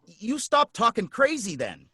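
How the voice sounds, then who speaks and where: A plain-spoken working-class cabbie, acted by a man, full of slang and suspicion. His voice is bright and bounces up and down a lot. The sound is close and dry. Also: very high voice, huge pitch swings, a little slow, breathy edge, no echo, full character voice. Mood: suspicious. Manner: folksy slang, suspicious, blunt. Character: wary blunt male taxi driver, working-class. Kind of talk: live radio drama reading.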